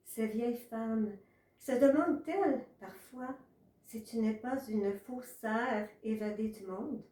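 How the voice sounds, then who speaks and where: Poetry reader: woman, mellow and unhurried delivery, recorded in an echoey room. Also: medium pitch. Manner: measured, pausing between short phrases. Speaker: author reading own poetry. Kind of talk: literary poetry reading. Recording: echoey room, distant from mic.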